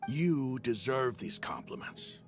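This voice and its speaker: Gravelly, hearty desert mercenary. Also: male voice, medium pitch, slow. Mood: admiring. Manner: grandiose, expressive. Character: hearty male desert mercenary, warm, theatrical, flowery-spoken. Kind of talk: video game voice acting. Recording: guitar music under the voice.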